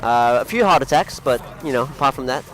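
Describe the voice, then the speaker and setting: A man's voice: medium pitch, expressive, brisk, low-quality recording. A man casually commentating a fighting game tournament, chatty and rambling, who laughs at his own clumsy phrasing; English is not his first language. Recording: music playing loudly behind.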